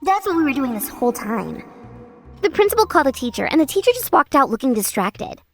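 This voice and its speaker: A woman narrating as an exasperated schoolgirl in a manga dub, lively and a bit sarcastic. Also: high voice, fast, soft background music. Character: exasperated schoolgirl narrating her class's story.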